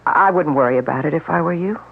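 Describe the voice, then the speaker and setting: An actress playing a worried wife in a 1970s radio drama, earnest and questioning. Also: female voice, deep voice, fast, gravelly. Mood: soothing. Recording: narrow-band old radio broadcast.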